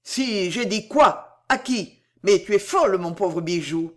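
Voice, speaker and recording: A woman's voice: medium pitch, animated, a little slow. A woman voicing a troubled young man, curt, defensive and easily flustered, in a storybook reading. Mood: flustered, indignant.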